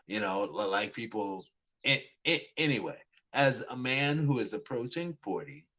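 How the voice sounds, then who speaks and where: A man's voice: mid-range voice, expressive, moderate pace, pushed and bright, rough recording. A man of about forty telling a story in a casual monologue, rambling and restarting his sentences often, and acting out both sides of conversations. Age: 40s. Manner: rambling, many restarts and stammered repeats. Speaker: vlogger.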